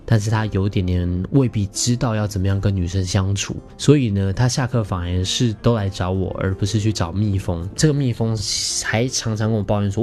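A man's voice: lowish voice, warm tone. Campy Taiwanese man with a darker tone. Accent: Taiwanese Mandarin. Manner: theatrical, campy, playful. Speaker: podcast host. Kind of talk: animated personal storytelling.

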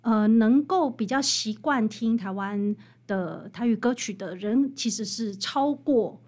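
High-voiced Taiwanese woman, emphatic guest. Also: unhurried, a little mellow. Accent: Taiwanese Mandarin. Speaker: guest author, researcher of popular songs. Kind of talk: interview conversation, explanatory storytelling.

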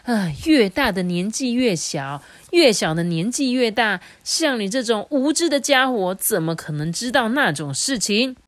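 A woman voicing a big male tiger who blusters loudly and then grows puzzled. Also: on the high side, expressive, moderate pace, few pauses, no room sound, pitched above the performer's own voice, natural voice. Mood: indignant. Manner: loud, blustering.

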